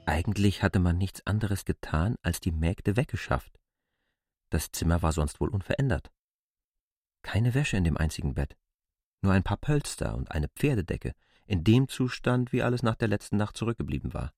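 A man's voice: deep voice, lively intonation, moderate pace, creaky, darkish tone, no room sound, studio quality. A man giving a scripted literary reading as a narrator in a radio play.

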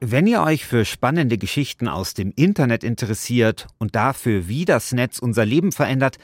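A man's voice: medium pitch, no room sound, studio quality. A man narrates a podcast from a script in the first person, as its host. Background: music.

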